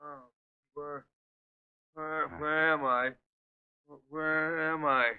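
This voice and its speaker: An actor playing a dazed, frightened man coming to, halting and faltering, in a 1930s radio mystery. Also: a little high, flat delivery, clean tone. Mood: dazed, bewildered. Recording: thin, tinny 1930s radio recording.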